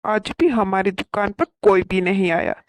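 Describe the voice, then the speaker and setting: A woman's voice: medium pitch, steady tone, normal speed, dry recording, close to an everyday voice. A woman voicing a male crow shopkeeper in a cartoon. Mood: dejected.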